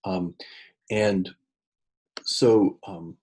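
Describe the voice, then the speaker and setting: A middle-aged man giving an unscripted talk on church ministry, halting with many fillers and restarts. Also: deep voice, fairly even intonation, darkish tone, slightly rough. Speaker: church consultant and author.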